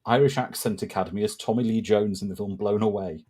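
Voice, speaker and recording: A man with a lowish voice chats over a film as a watch-party co-host. He rambles into personal stories with dry, wry asides, casual and unhurried. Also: steady tone, warm tone, husky. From moved to Wales as a child. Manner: chatty, storytelling, wry asides. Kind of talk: casual film commentary chat.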